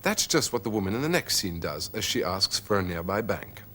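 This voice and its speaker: A man narrating a language lesson, repeating phrases slowly and clearly. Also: lowish voice, sing-song, a bit bright, slight background noise. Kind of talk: scripted teaching narration.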